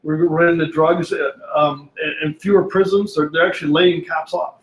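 Man in audience, quick emphatic talk, raised pitch. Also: steady tone. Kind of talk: panel discussion. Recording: echoey room.

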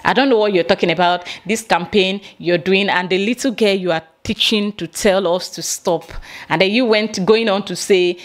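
A woman's voice: mid-range voice, moderate pace. A young Nigerian woman recording a vlog in a small room, speaking straight to the camera in an indignant, emphatic way. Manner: indignant, emphatic, gestures while ranting. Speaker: vlogger.

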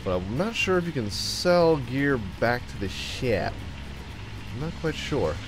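Young guy w a stuffy cold voice doing goofy lets play commentary, mid pitch, bit of game music under it. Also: very animated, measured pace. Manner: goofy, jokey, sings and riffs to himself. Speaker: gaming YouTuber. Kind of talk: playful let's-play commentary.

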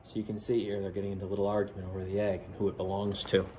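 A man's voice: deep voice, completely flat delivery, racing, warm tone, a little hiss or hum. A man in his forties with an American accent, reading a picture book aloud expressively with explanatory asides, as a teacher in a classroom. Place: school classroom, indoors. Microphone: camera or phone a short distance away.

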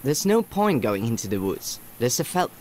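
An actor playing a dutiful, gentle village boy in a video game, speaking in an earnest storybook manner. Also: medium pitch, very animated, normal speed, slight background noise, natural voice. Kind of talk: scripted video game narration and dialogue.

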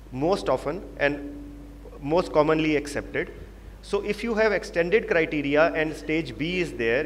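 A man with an Indian English accent presenting and moderating a medical panel, explaining with emphatic repetition. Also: on the high side. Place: large conference hall. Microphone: handheld microphone. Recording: reverberant hall, faint background noise.

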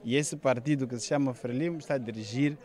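A man's voice: medium pitch, quick pace, a little mellow. Young Mozambican man interviewed outdoors. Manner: speaking through a face mask. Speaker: party member being interviewed. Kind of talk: press interview. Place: outdoors in a paved courtyard.

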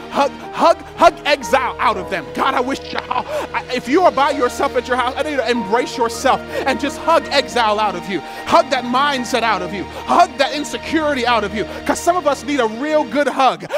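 An American man delivers an impassioned sermon in a strikingly high-pitched voice. He exhorts his listeners in rhythmic, repeated refrains. Music plays faintly behind his preaching. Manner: exhorting, repetitive rhythmic refrains building in intensity.

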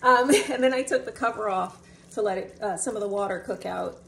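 A young woman casually narrating a home cooking video in a relaxed, chatty way, with fillers. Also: medium pitch, brisk, gravelly. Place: home kitchen. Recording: distant mic, noticeable room noise.

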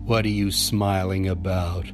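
An actor playing a cocky, menacing gang leader in an audio drama, taunting and drawling. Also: male voice, low voice, little pitch movement, unhurried, music in the background. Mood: taunting menace.

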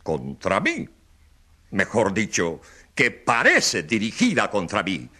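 A pompous populist politician on trial in a radio drama. He orates in sweeping, highly animated swings with a bright, forceful edge. His delivery is slow and grandiose, full of dramatic repetition and evasion. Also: slightly rough, heavily acted. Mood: demagogic, righteous. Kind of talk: radio drama courtroom acting.

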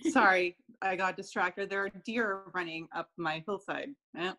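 A young woman from Pakistan, a guest on a casual video-call interview from home, easygoing and quick to laugh. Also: lowish voice, a crowd in the background. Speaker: guest designer. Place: home room, indoors. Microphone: video call, webcam.

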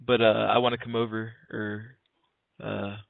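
A man's voice: on the low side, little pitch movement, unhurried, creaky, no room sound. A man in his early twenties making a prank phone call in a casual, slangy, hesitant way, acting sincere. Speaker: prank caller. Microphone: telephone call. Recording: narrow, tinny phone line.

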